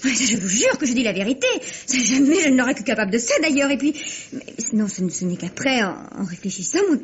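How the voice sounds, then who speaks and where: Spiteful young woman, fast and husky. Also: on the high side, lively intonation. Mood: flustered, defensive. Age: young adult. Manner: biting, theatrical, defiant. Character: spiteful, proud young woman, ambitious would-be film starlet. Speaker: actor. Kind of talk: 1950s French radio mystery acting. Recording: dull vintage radio recording.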